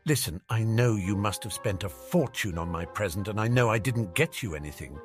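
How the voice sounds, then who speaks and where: A man's voice: lowish voice, wildly swooping pitch, normal speed, soft background music. A man voicing an awkward, insecure wizard schoolboy who speaks hesitantly and plainly in a storybook reading. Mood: uncomfortable, apologetic.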